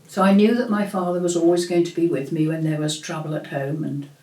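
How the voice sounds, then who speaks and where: An older woman host recalling family memories in a slow, reflective storytelling manner, with frequent pauses and fillers. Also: sits low, level tone, warm tone. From England. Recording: echoey room.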